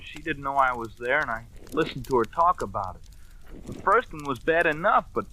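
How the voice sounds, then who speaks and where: A man's voice: mid-range voice, lively intonation, fairly quick, sharp tone. An actor playing a fragile young man near breakdown in an old-time radio drama, strained, pleading and overwrought. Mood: broken, confessing. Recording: noisy vintage radio drama recording.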